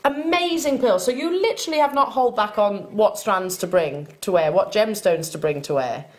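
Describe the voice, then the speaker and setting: A woman's voice: medium pitch, animated, moderate pace. A woman with a Welsh accent presenting a live TV shopping show, chatty and upbeat as she talks with guests and greets viewers. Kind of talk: live TV selling chat. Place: TV studio.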